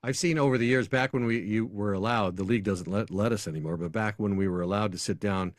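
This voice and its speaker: Deep-voiced American man in casual interview. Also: fast, dry recording, studio quality. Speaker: guest, sports public address announcer. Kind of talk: casual sports interview, anecdotal.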